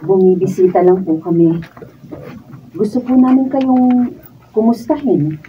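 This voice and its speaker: A woman's voice: medium pitch, lively intonation, a little slow, natural voice. A woman playing a polite but frank daughter-in-law in a radio drama, with a Filipino accent. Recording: distant, muffled, noisy room recording.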